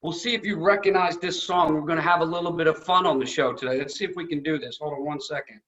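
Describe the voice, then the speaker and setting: A man's voice: a little high, fast talker, muffled recording, heavy background noise. A man hosting a show, interviewing a guest in casual, upbeat chat. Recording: very echoey room, faint music.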